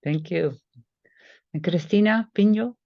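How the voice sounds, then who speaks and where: A woman moderating a webinar, briefly thanking people and reading out names haltingly. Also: fairly low pitch, gravelly, no echo. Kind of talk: calling on participants. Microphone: video call. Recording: dull, dry video-call audio.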